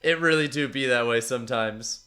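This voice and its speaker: A man with a stuffy, congested voice from a cold, chatting casually with his stream viewers and reading out their messages in a relaxed, rambling way. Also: mid-range voice, normal speed. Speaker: streamer analyzing tournament matches.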